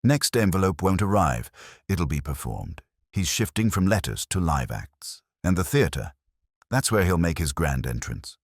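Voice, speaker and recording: A man playing a grave, shrewd police inspector in a mystery story, measured and declarative, with a husky voice. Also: sits low, very animated, dry recording, studio quality, pitched above the performer's own voice, heavily acted. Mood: grave, certain.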